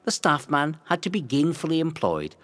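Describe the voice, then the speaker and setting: A man reads a scripted historical narration in a theatrical, measured storytelling manner. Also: fairly high pitch, sing-song, fairly bright tone. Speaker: narrator of a local history audio guide.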